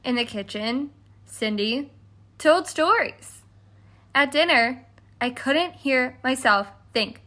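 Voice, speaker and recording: A woman's voice: sits high, expressive, slow pace, pushed and bright, full character voice. A woman reading a children's storybook as a haughty, exasperated stepmother who tells her side with dramatic emphasis. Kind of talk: scripted children's storybook read-aloud.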